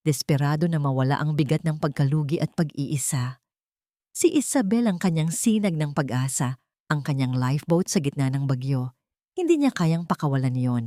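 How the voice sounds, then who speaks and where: A woman's voice: deep voice, dark tone, dry recording, clean studio sound. A woman reading a scripted romantic drama story as a narrator.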